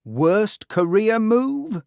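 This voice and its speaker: A man playing a nosy, sceptical questioner at a mock awards show, asking short, deliberate questions. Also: sits high, very animated, creaky, a little mellow, smooth, no echo, studio quality, exaggerated voice.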